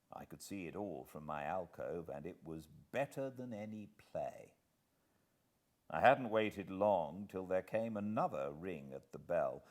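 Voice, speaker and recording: A British man narrating a literary audiobook in a measured, expressive way with dramatic pauses. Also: low voice. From Britain.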